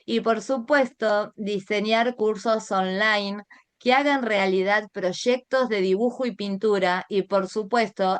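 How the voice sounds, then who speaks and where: A middle-aged woman with an Argentine accent speaks as an art teacher introducing her online workshop. Her voice is smooth and clear, at a medium pitch, and she takes her time as she guides listeners with direct questions. The sound is dry, with very little room in it. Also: no room sound. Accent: Argentine Spanish. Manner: guiding, addresses listeners directly with rhetorical questions. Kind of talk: introductory online class presentation.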